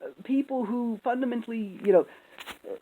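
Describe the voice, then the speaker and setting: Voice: medium pitch, brisk, phone-quality sound. A speaker giving casual, opinionated commentary in a rambling, emphatic, sweary way. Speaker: video commentator.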